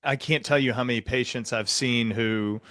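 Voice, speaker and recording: A man hosting a podcast as a clinician, giving conversational clinical commentary in a measured, explanatory way. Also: medium pitch, steady tone, a bit bright, dry recording, studio quality.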